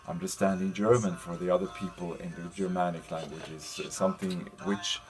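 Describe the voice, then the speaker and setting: A man presenting an informal slide lecture as a teacher, speaking in a hesitant, halting way with frequent pauses. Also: low-pitched, steady tone, faint music behind. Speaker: university lecturer presenting a lesson. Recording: clear background noise.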